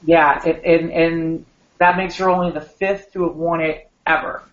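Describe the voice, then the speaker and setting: A man co-hosting a podcast, a bit hoarse after a long weekend of talking, rambling and restarting his sentences. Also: a little high, little pitch movement, moderate pace. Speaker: podcast co-host. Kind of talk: casual podcast chat. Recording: echoey room, dull lo-fi sound.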